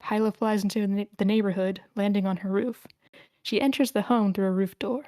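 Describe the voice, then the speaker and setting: A woman's voice: medium pitch, steady tone, fairly quick, mellow, no echo. A woman reading stage directions as narrator, in scripted narration at a screenplay table read.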